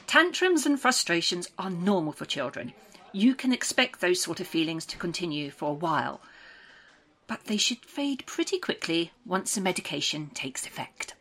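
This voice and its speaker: An actress playing a probing official in a dystopian audio drama, reassuring, procedural and a little patronising. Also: female voice, lowish voice, sing-song, moderate pace, a bit bright, exaggerated voice. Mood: brisk, reassuring. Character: soothing, probing woman official of a dystopian programme. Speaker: interviewer. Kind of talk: audio drama dialogue, clinical interview.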